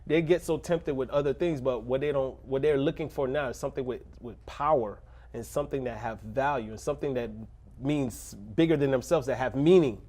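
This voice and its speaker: A man who grew up in a rough neighborhood, speaking as a pastor in a casual interview, earnest and preacherly, breaking into laughs and jokes. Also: mid-range voice, brisk, a little mellow. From Little Haiti, Miami.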